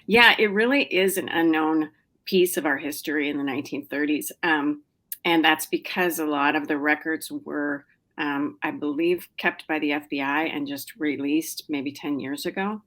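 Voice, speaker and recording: A middle-aged woman speaks with a low, easy voice as she answers an interviewer's questions about her writing. She talks casually and chattily, with frequent ums and restarts. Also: normal speed, fairly bright tone. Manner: chatty, many fillers and restarts. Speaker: novelist guest.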